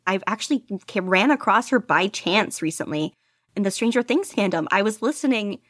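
A woman's voice: mid-range voice, expressive, normal speed, no room sound, studio quality. A woman guest in a casual interview, talking eagerly about her hobby with many "like"s and laughs. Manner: gushing, lots of "like" and laughter. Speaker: guest podficcer.

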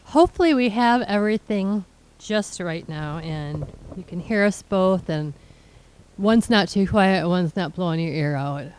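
Soft-spoken woman with a smooth voice. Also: on the low side, lively intonation, a little slow, poor audio. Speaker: podcast co-host. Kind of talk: casual chat.

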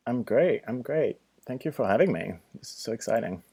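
A man in his thirties from France with a French accent, telling a personal story as a podcast guest. He is thoughtful and earnest and often pauses with ums and restarts. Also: mid-range voice, lively intonation, vocal fry, mellow, husky. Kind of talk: storytelling in a casual podcast chat.

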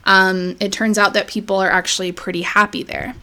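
A woman's voice: medium pitch, a little fast. A woman co-hosting a podcast, casually retelling a life story with lots of fillers. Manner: relaxed, filler-heavy retelling. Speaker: podcast co-host.

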